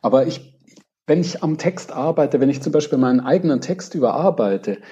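A man's voice: a little high, animated, fairly quick, mellow, highs cut off. A man speaking as a guest author in a casual podcast, thoughtful and hesitant, often restarting his sentences.

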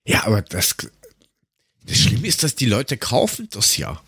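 A man's voice: mid-range voice, huge pitch swings, unhurried, a bit bright, slightly rough, no echo, clean studio sound. An Austrian man chatting casually as a podcast co-host, rambling and grumbling in a sarcastic, coarse-mouthed way.

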